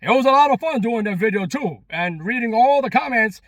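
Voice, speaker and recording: Thirties man, Long Island accent, high-pitched, casual vlogger, emphatic, repeats himself, dry close sound. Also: expressive, a little fast, a bit bright, no room sound. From Long Island, New York. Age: 30s. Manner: repetitive, emphatic, chatty. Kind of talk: casual rambling vlog to viewers.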